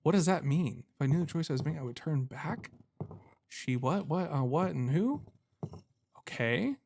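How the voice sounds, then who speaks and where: A man's voice: fairly high pitch, expressive, moderate pace, vocal fry, darkish tone, slight background noise. A man giving casual let's-play commentary as a gaming host, talking to himself and poking fun at his own fumbles. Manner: self-deprecating, talks to himself while puzzling.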